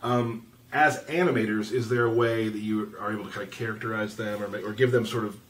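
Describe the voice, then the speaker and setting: A man's voice: fairly low pitch, steady tone, quick pace. A man hosting a casual, live interview, talking in an informal way. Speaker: interview host.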